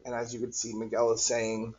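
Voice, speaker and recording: A man reading a picture book aloud to children in an animated, husky voice. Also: medium pitch, brisk, slight background noise. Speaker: read-aloud storyteller. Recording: dull, lo-fi recording.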